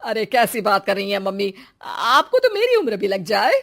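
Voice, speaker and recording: A woman plays a middle-aged mother-in-law in an animated family tale. Her mid-pitched voice can order juniors about or plead meekly with elders. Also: normal speed. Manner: flips between pleading and ordering. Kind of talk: animated moral story acting.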